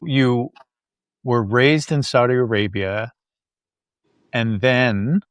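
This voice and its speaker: A woman's voice: very deep voice, slow, dry recording. A woman interviewing a guest, mostly giving brief encouraging prompts and questions.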